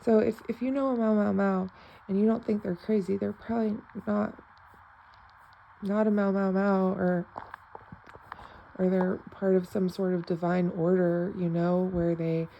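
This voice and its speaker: Woman vlogging, gravelly and mellow, rambles and restarts, switches languages. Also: on the low side, dull audio, slight background noise. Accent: American accent in German. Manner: rambling, repeats phrases, many restarts; switches between English and German. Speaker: self-described novice clairvoyant. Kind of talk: casual rambling spiritual vlog.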